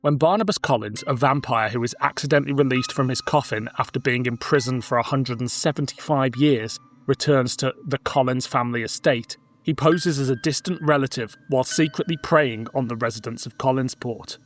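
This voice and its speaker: A man's voice: mid-range voice, fairly quick, hardly any pauses. A man reading a scripted plot synopsis as narration for a podcast. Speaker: podcast co-host.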